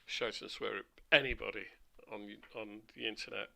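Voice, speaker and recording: Male streamer, slightly hoarse, gravelly and sharp-toned, rambling chat with faint hum behind. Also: fairly low pitch, steady tone, normal speed. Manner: chatty, rambling asides. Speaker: flight sim livestreamer. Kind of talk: casual livestream commentary.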